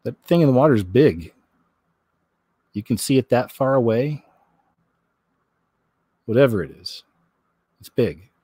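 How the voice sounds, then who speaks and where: A man with a mid to slightly low voice hosts a casual online commentary show. He talks in a folksy, rambling way, often repeating himself as he reacts to what he is presenting. The sound is dry, with very little room echo. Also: lively intonation, measured pace, pauses often, dark tone, husky. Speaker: host of a news commentary vlog. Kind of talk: casual chatty commentary on clips and read-out news.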